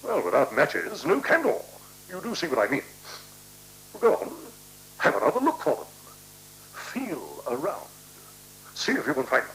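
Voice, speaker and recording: An actor playing a chummy, fussily polite newcomer in a 1970s radio play, with a chatty, coaxing, courteous manner. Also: male voice, mid-range voice, wildly swooping pitch, moderate pace, long pauses, fairly bright tone. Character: chummy, fussily polite male newcomer making himself at home. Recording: noisy, humming 1976 radio recording.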